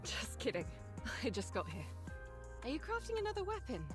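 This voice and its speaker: An excitable young heroine teases in a very high, swinging voice. She is playful, a video game character heard over clear music. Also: brisk. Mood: teasing, curious. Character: playful, teasing, excitable young female comrade. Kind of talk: English video game voice acting.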